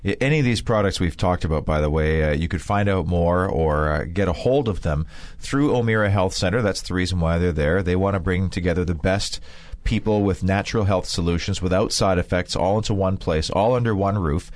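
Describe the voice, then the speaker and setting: A man's voice: low voice, fairly quick, a little mellow, no echo. A man hosting a radio health show, recapping guests and reading plugs with many fillers. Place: radio studio.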